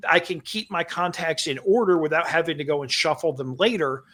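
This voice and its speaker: A man's voice: on the high side, a little fast, fairly bright tone. A man co-hosting a podcast, chatting casually and explaining things with asides. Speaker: co-host.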